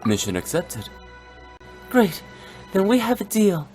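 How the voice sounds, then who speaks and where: A mischievous young woman who runs a funeral parlor in a video game. She teases with a lively, sing-song lilt at a mid pitch. Faint game music plays behind. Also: takes it slowly. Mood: playful. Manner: teasing, playful.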